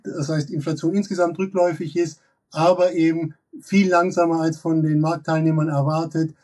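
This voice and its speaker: A man's voice: fairly high pitch, a little mellow, far from the mic. German-speaking man analyzing markets, a little off-mic. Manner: analytical, many fillers and restarts. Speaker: derivatives expert guest. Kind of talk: financial market commentary. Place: office with glass wall.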